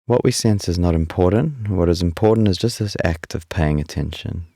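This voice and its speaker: A man with a remarkably deep voice leads a guided meditation. His tone is dark and relaxed with a gravelly fry, and he speaks soothingly with long, unhurried pauses. The sound is close and dry. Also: no echo. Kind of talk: guided body scan meditation.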